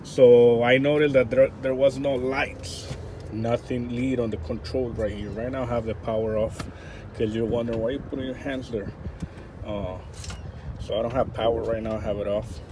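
A man's voice: medium pitch, fairly even intonation, normal speed. A man, an HVAC technician, talking through a repair outdoors at a rooftop unit, thinking aloud with fillers and restarts, recorded close on a handheld camera. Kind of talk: hands-on troubleshooting walkthrough. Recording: loud outdoor wind and traffic noise.

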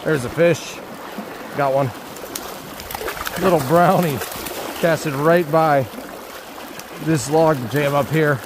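A man's voice: on the high side, steady tone. A young man in his twenties giving upbeat, casual commentary to his viewers while fishing, often talking to the fish and chuckling. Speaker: fishing vlogger. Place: outdoors by a rushing stream. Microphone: action camera, chest or handheld. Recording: outdoor camera mic with loud stream noise. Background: rushing water.

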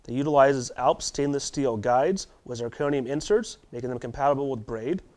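A man in his thirties hosting a tackle shop video, running through product specs in a steady delivery with small restarts, in a warehouse storeroom. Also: mid-range voice, moderate pace. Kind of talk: product rundown to camera. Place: warehouse storeroom with shelves of boxes.

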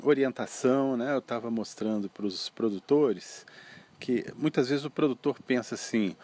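A middle-aged man with a Brazilian accent speaks in an interview. His voice is husky and of medium pitch, and he explains things as a seminar speaker would. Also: darkish tone. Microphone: phone held at chest by interviewer.

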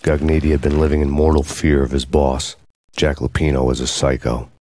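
An actor playing a grim, world-weary American cop, narrating in a brooding, deadpan noir style. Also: male voice, very deep voice, fairly quick.

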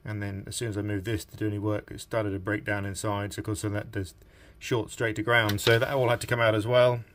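A man's voice: on the low side, flat delivery, brisk, fairly bright tone. A middle-aged man giving informal, hesitant workshop commentary as he presents a repair. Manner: informal, hesitant, thinking aloud. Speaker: radio restorer presenting a repair video. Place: small indoor workshop at a bench.

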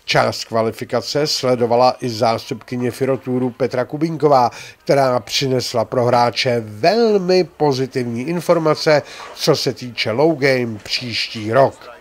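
An older man hosting a bowling tournament, chatting and teasing as he reports and interviews players with a handheld mic in a large bowling alley. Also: medium pitch. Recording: faint hall noise.

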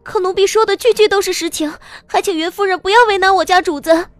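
A woman's voice: very high-pitched, fast, no echo, voice pushed up. A woman voicing a timid but loyal young maidservant in an audio drama, pleading and fretful. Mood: frightened pleading. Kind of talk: audio drama character acting.

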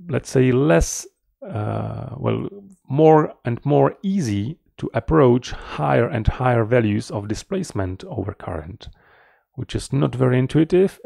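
A middle-aged man giving an explanatory technical presentation as a researcher, in a measured, slightly halting manner, close to the mic. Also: mid-range voice, vocal fry, mellow, no room sound. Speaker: researcher presenting a conference paper.

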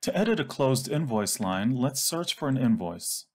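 A man reading scripted instructional narration for a software tutorial. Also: mid-range voice, sing-song, normal speed.

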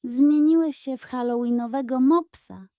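A woman voicing a cheerful, proud little girl in a bedtime story, speaking in an excited way. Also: high voice, normal speed, dry recording, close to an everyday voice. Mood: giggly.

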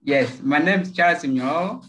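A man from Tanzania, giving a prepared project pitch over a video call. He speaks haltingly, with many fillers and repeated words. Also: fairly bright tone, slight background noise. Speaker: project pitcher from a local NGO.

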